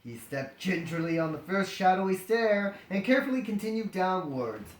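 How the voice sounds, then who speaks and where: A man's voice: sits high, very animated, normal speed. A man reading a story aloud as comedy, breaking off into jokey, dramatized ad-libbed asides. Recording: roomy echo, faint noise and clicks.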